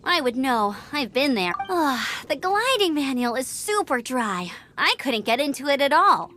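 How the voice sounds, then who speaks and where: A woman's voice: sits high, very animated, a little slow, sharp tone, heavily acted. A woman voicing a cheerful, bubbly young glider champion in a video game, with an eager, informal manner. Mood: confiding, dreading. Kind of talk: animated video game character dialogue. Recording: game music behind the voice. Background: game music.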